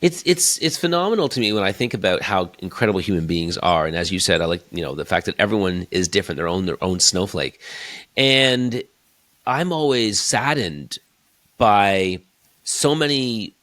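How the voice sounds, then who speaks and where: A man in his fifties hosting a conversational interview, telling stories in an animated way and acting out his own stage lines. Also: deep voice, normal speed, no echo. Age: 50s. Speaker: host and keynote speaker.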